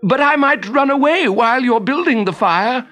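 Man putting on a high-pitched, cunning old wizard. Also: lively intonation, normal speed, fairly bright tone, faint music behind. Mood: wheedling, strained. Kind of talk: storybook character voice.

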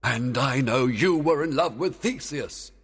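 A man's voice: mid-range voice, lively intonation, a little slow, husky, big-room sound, voice pushed up. A man voicing a stern, jealous and scheming fairy king, commanding, in a storybook. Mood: angry. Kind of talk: dramatized storybook acting.

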